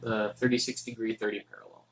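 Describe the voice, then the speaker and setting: A young man from Texas, a student, mutters to himself in a halting, casual way as he works through his notes. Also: on the low side, flat delivery, rough recording. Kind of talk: casual study vlog, thinking aloud.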